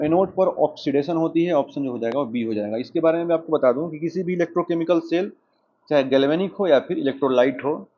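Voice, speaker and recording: A man with an Indian accent teaching an exam lesson, explaining questions and answers directly to students. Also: fairly high pitch. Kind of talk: lesson, working through quiz questions and explanations. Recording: dull lo-fi microphone sound.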